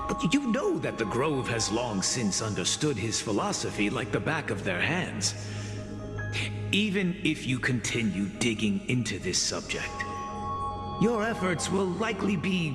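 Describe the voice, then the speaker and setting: Slow-spoken, stern, caring teacher. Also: male voice, mid-range voice, huge pitch swings. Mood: doubtful. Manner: measured, formal, admonishing. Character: stern but caring male teacher, measured and formal. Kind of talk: dramatic game voice acting. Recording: echoey long reverb, music underneath.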